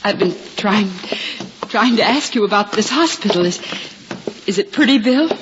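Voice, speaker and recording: An actress playing a proud young woman who has just gone blind, pleading and halting in a 1950s radio drama. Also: medium pitch, animated, lightly acted. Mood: distressed, pleading. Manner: pleading, halting, breaks into stammers. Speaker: hospital patient. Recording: muffled hissy old radio broadcast.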